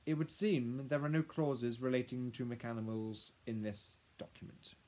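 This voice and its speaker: A man's voice: medium pitch, steady tone, normal speed, warm tone, pitched above the performer's own voice, natural voice. A man voicing a nervous, deferential lawyer who speaks in a fussy, hesitant, officious way in a storybook.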